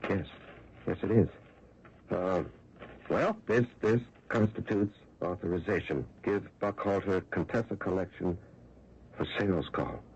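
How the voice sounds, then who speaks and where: A man's voice: low voice, a little slow, pauses often, a little mellow, slight background noise. An actor in an old-time radio drama playing a timid, dutiful, hesitant and stammering male office clerk. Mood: flustered, wary. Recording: dull lo-fi radio recording.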